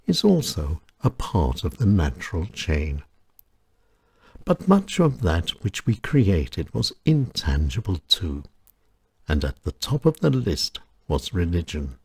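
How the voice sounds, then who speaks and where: A man hosting his own show, reading his own reflective essay in a measured, solemn manner. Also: sits low, creaky, darkish tone, husky, no echo, studio quality. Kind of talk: scripted reflective narration.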